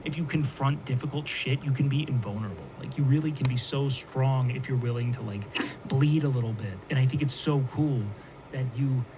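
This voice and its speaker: A man's voice: mid-range voice, brisk. A man hosting a casual podcast chat, talking earnestly and encouragingly with lots of fillers. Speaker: podcast host. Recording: noisy online call audio.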